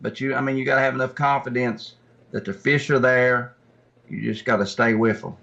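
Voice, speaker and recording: A man's voice: medium pitch, fairly even intonation, a little fast, creaky, a bit bright. A man speaking as a guest, an angler explaining things casually in a plain-spoken, informal way, recorded in dull lo-fi sound. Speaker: guest angler, tournament fisherman. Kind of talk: casual chat, recounting and explaining.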